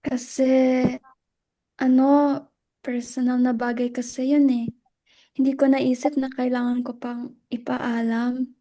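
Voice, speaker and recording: A woman acting a guarded, emotional widow in a dramatic reading, halting and defensive as she speaks. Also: sits high, little pitch movement, warm tone. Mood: guarded, uneasy. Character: Luella, guarded, emotional widow defending her dead husband.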